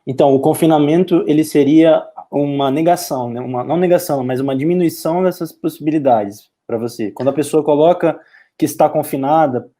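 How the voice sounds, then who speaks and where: Mellow, tentative Brazilian man hosting an interview. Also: on the high side, brisk. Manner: tentative, hedging, checks back with tag questions. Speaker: interviewer and host. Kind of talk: casual reflective interview. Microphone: video call.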